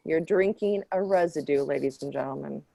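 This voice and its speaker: A woman playing a smug, defensive professor in an improvised mock debate, lecturing haltingly and with emphasis. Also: sits low, vocal fry, warm tone, no room sound. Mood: dismissive.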